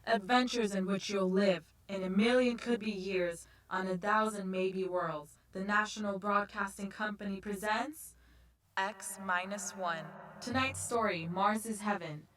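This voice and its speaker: A woman's voice: mid-range voice, unhurried, a bit bright, close to an everyday voice, poor audio. A woman playing a solemn announcer, narrating a science fiction radio anthology in a dramatic old-time style. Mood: portentous. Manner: dramatic, portentous.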